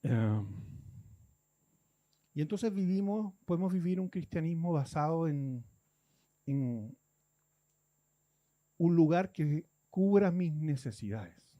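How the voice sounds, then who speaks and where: A man's voice: on the high side, expressive, slow pace, pauses often, lo-fi sound. A man from Guatemala, preaching a conversational sermon and acting out churchgoers' complaints.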